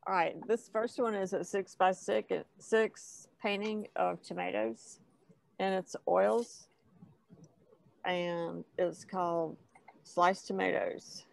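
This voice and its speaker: A woman's voice: medium pitch, steady tone, takes it slowly, gravelly. An older woman painter chatting casually about her own work on a video call. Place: dim indoor room on a video call. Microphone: wired earbuds.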